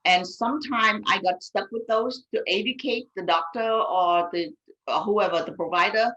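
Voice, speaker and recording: Woman, medical interpreter, bright tone, halting, self-correcting. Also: mid-range voice, highs cut off, slight background noise. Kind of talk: panel discussion after a live-streamed reading.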